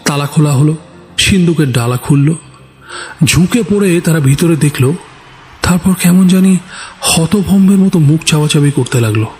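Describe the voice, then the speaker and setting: A man's voice: fairly high pitch, normal speed, pauses often, darkish tone. A man narrating a scripted story in a theatrical, suspenseful way. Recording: background music.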